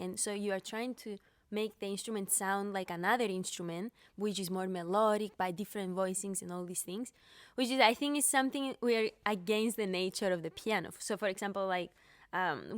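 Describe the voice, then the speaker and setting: A woman's voice: medium pitch, no echo. A young woman from Cyprus with a Greek Cypriot accent, a pianist being interviewed, talking with many fillers and restarts. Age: young adult. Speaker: pianist guest. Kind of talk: podcast interview.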